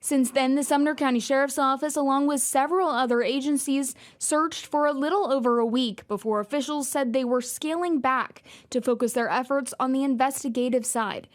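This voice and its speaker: A woman's voice: sits high, a little fast, hardly any pauses. A woman reporting for television news, narrating a scripted report.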